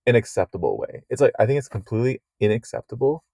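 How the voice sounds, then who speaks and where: A young man co-hosting a podcast, crude and swearing, joking in a deadpan way. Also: medium pitch, quick pace, creaky, slightly rough, no echo, clean studio sound. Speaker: podcast co-host. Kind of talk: casual chat.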